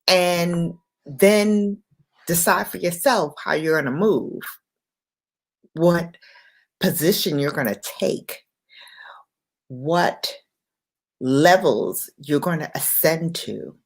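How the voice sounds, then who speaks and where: Slow, reflective woman livestreamer. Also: fairly low pitch. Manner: unhurried, reflective, pausing between short phrases. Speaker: livestream host. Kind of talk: impromptu late-night livestream chat, reflective rambling.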